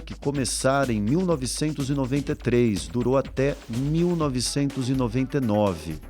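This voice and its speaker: Male Brazilian documentary narrator, medium pitch, scripted read over light music bed. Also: a little mellow.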